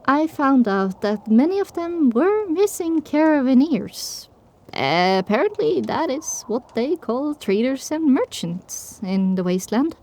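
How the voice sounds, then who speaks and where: A woman's voice: sits high, very animated, a little slow, a little mellow. A woman reading scripted first-person story narration for an audio drama.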